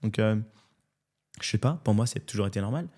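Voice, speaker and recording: A French man in his thirties, a comedian, telling his life story in a casual interview in an animated, candid way. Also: fairly low pitch, a little fast. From France. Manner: animated and candid, often adds "tu vois". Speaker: comedian guest.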